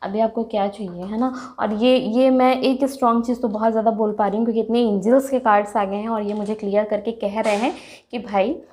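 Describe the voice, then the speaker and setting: A woman hosting a casual tarot reading with a sore throat, clearing her throat often and chatting excitedly to her viewers as friends. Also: a little high, brisk. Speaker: tarot reader, channel host.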